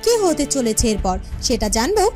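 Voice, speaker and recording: A woman's voice: a little high, sing-song, a little fast, darkish tone, faint music behind. A woman narrating a scripted cartoon fairytale for viewers.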